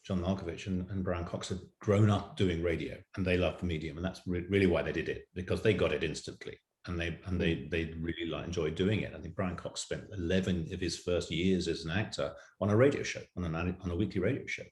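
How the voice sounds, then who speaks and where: A man's voice: low voice, fairly even intonation, quick pace, hardly any pauses, darkish tone. A man talking conversationally as an interviewed audio drama producer. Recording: roomy, echoey remote recording.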